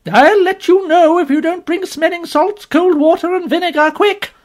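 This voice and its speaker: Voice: big pitch swings, moderate pace, vocal fry, breathy edge, full character voice. A reader voicing a fierce, strong, red-haired woman who bawls and scolds indignantly. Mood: indignant scolding. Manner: shrill indignant bawling. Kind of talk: audiobook character voice.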